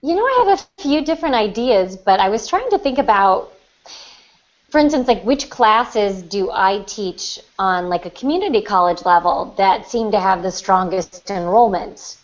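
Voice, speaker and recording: A woman's voice: mid-range voice, lively intonation, moderate pace, dull audio. A woman chatting casually on a podcast as a writing teacher, thinking aloud as she explains. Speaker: writer, writing teacher and magazine editor. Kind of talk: casual chat.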